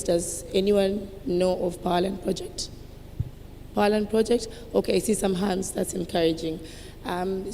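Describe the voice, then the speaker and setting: A woman, raspy from a cold, introducing herself on a panel with confident questions to the audience. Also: mellow. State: has a cold. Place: conference hall with audience. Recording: reverberant hall, faint rumble.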